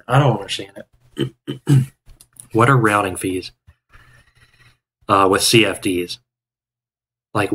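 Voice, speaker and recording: Bright-voiced rambling male streamer. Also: lowish voice, breathy edge. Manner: rambling, chatty, frequent restarts and fillers. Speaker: day trading live streamer. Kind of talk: casual live stream chat. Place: home room, indoors. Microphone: headset.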